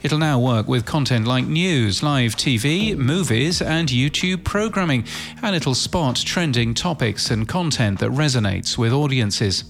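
A man presenting a scripted news bulletin in a crisp headline style with brief dry asides. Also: medium pitch, animated, unhurried, a little hiss or hum.

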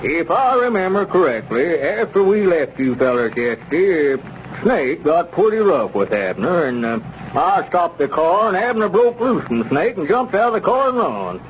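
Slippery rural squire, folksy bluster, very animated delivery, muffled old radio sound. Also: male voice, a little high, moderate pace. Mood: hedging, explaining. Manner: blustering, protesting innocence in folksy phrasing. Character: evasive small-town squire peddling dubious mining stock. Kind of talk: 1930s radio comedy serial acting. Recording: muffled, noisy 1930s radio recording.

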